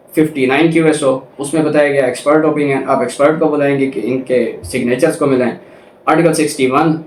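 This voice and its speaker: Echoey, higher-voiced Pakistani man lecturing. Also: darkish tone. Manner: didactic, poses questions and answers them, code-switches into English. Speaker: law lecturer. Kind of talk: lecture explaining legal articles, reading clauses then paraphrasing. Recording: echoey room.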